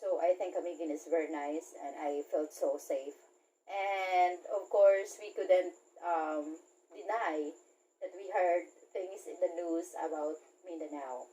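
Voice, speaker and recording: Chatty low-pitched Filipino woman host. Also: fairly even intonation, unhurried, dark tone, slight background noise, rough recording. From Philippines. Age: 30s. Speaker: talk show host, interviewer. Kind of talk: casual video interview.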